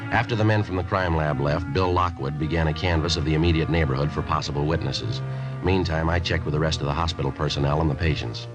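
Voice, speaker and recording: An actor playing a stoic, methodical American police detective, narrating a radio crime drama in terse, deadpan, matter-of-fact phrases. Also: male voice, very deep voice, fast talker, muffled recording, music in the background. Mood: flat, factual.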